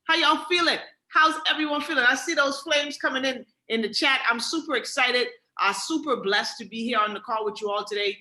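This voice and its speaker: A young adult woman from the Bahamas with a Bahamian accent, giving an energetic motivational sales pitch on a webinar, often asking "right?" and calling the audience "guys.". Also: fairly quick, hardly any pauses, roomy sound, poor audio. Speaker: webinar presenter.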